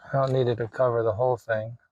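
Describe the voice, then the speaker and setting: A man's voice: mid-range voice, little pitch movement, fast talker, a little mellow, no room sound, muffled recording. A man narrating a hands-on DIY vlog in an informal way, thinking aloud as he works. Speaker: video creator showing a DIY radio install.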